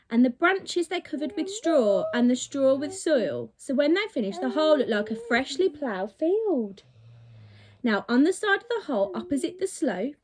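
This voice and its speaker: A woman with a high voice reads a storybook aloud for children. Her delivery is very lively, swinging up and down in pitch, and she leans on key words for dramatic effect, as a teacher would for a class. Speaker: teacher reading a storybook to pupils. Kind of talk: expressive children's story narration.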